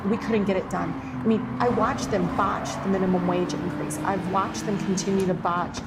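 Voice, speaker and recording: A woman's voice: medium pitch, fairly even intonation, normal speed, heavy background noise. A woman legislator in an impassioned political discussion, often restarting her sentences. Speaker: state legislator guest.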